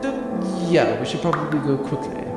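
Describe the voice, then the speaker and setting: A man's voice: a little high, moderate pace, pitched below the performer's own voice, natural voice. A man voicing a breezy, oblivious, wisecracking spaceship captain in a comic audio drama. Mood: offhand. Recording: very echoey hall reverb, faint music.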